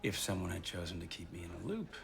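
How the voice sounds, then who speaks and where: An actor playing a proud, disgruntled police detective, wry and grudging in a 1920s period TV drama. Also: male voice, low-pitched, sing-song, fast, gravelly, fairly bright tone, exaggerated voice. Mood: irritated. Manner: sardonic, grudging.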